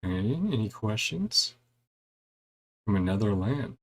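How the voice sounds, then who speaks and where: A man's voice: fairly low pitch, very animated, a little slow, a bit bright. A man giving casual gameplay commentary, reading game dialogue aloud and adding wry, chuckling reactions in a laid-back way. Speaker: gaming streamer doing a playthrough.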